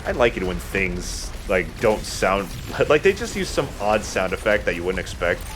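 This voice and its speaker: A man's voice: deep voice, big pitch swings, normal speed, background noise. A man giving casual, joking, slangy commentary as a let's play co-host. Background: video game ambience.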